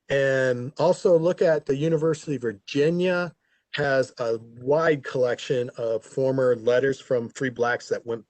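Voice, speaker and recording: A man's voice: mid-range voice, dry recording. A man who manages historic records, explaining them informally on an online panel with fillers and self-corrections. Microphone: video call.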